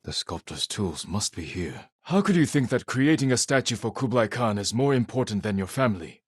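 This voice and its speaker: A man playing a solemn, resolute samurai warrior in a video game, speaking in a husky, measured, grave way with short phrases. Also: lowish voice, big pitch swings, no echo, studio quality. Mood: somber, gentle, then firm, reproachful. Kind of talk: dramatic video game character dialogue.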